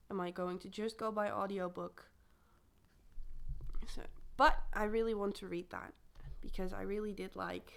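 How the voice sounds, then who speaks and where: A young woman chatting casually about her reading plans, her voice a little croaky from a recent illness, rambling and correcting herself as she goes. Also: mid-range voice. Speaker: booktuber. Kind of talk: casual chat to camera.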